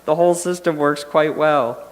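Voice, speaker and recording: A man's voice: fairly high pitch, moderate pace, close to an everyday voice. A man playing a sinister mad doctor in a live audio drama, smugly genial, patronizing and casually menacing. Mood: smug, explaining. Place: indoor hall, live stage performance. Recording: echoey hall, noisy live stage recording.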